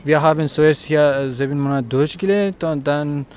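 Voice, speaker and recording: A man's voice: on the high side, normal speed, a little mellow, slight background noise. A young man from Afghanistan speaking earnestly and hesitantly in a street interview at a protest. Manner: earnest, hesitant, searching for words. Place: outdoors on a city street.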